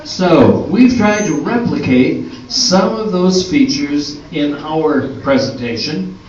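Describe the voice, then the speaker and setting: An older male presenter reads a scripted introduction at a slow pace. His voice is on the higher side and reaches a distant mic through heavy room echo, with a dull, slightly noisy sound. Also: animated, darkish tone. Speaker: announcer and presenter of an amateur radio play. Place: indoor hall at a live performance. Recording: roomy echoing hall, distant mic, dull, faint noise.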